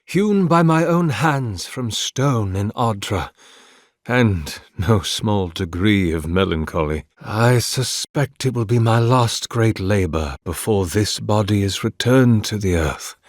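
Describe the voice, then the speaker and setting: An actor voicing an ancient, devout godlike priest in a video game, formal, courteous and melancholy, speaking in measured, archaic phrases. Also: male voice, fairly low pitch, expressive, no room sound, studio quality. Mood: melancholy, weary. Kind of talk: solemn video game character dialogue.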